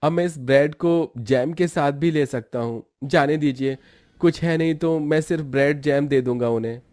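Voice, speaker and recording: Friendly young neighbour guy for cartoon tale, man acting, politely casual, fairly high pitch. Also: normal speed, a little mellow, close to an everyday voice. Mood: resigned. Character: friendly young male neighbour asking for help.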